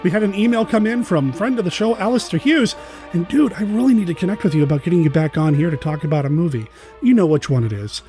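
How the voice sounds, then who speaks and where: A male podcast host with a fairly high voice chats informally and with excitement. He addresses listeners directly while soft music plays underneath. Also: fast talker. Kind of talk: casual enthusiastic chat to listeners.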